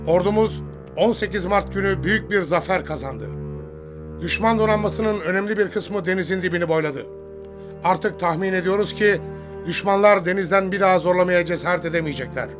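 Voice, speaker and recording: An actor playing a grave, resolute older army commander in a cartoon, giving a formal, authoritative briefing. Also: male voice, sits high, close to an everyday voice. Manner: solemn, authoritative, measured.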